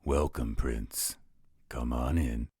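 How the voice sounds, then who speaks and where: Guy doing a gruff werewolf protector, super raspy, close dry sound, blunt and stubborn. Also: fairly even intonation, a little mellow, dry recording, heavily acted. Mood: wry, guarded. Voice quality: very low, husky rasp. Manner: slow, blunt, coarse-spoken. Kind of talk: audio roleplay drama acting.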